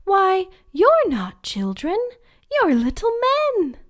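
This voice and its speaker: A woman voicing a sweet, cheerful young princess in a bedtime story, gentle and wide-eyed. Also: extremely high pitch, huge pitch swings, slow pace, voice pushed up, heavily acted.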